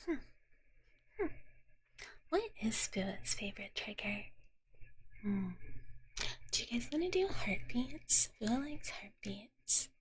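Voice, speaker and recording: A woman streaming whispered ASMR, speaking to live viewers in a soft, hushed, halting way into a close microphone. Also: on the high side, big pitch swings, husky. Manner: speaks of herself in third person as a dragon character.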